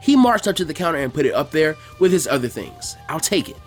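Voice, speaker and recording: A man reading a scripted story aloud as a narrator, in an animated way. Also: on the high side, quick pace, soft background music. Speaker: fan fiction reader.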